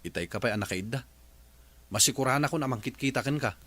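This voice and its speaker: An actor with an Ilocano accent playing a grumbling, penny-pinching husband in a radio comedy drama. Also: male voice, medium pitch, fast, fairly bright tone, close to an everyday voice.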